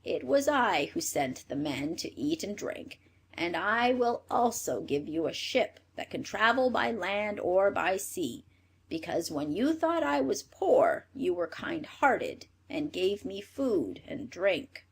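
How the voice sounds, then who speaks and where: A woman voicing a gentle, kindly old magical little man in a storybook, speaking slowly and in a hushed way. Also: medium pitch, big pitch swings, husky, exaggerated voice. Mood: kindly, grateful. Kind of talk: storybook character voice.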